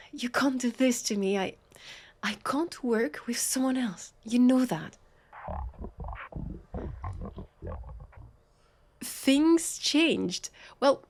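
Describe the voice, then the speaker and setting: A woman with a French accent playing a weary, sardonic vampire police officer in an audio roleplay, halting and exasperated. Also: sits high, breathy edge, full character voice. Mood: pleading, shocked. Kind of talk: scripted audio roleplay acting.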